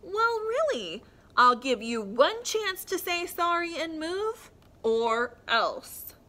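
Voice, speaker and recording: A woman voicing a prim, indignant witch in a storybook reading, speaking in a slow, haughty, scolding way. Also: extremely high pitch, sing-song, pushed and bright, pitched above the performer's own voice, heavily acted.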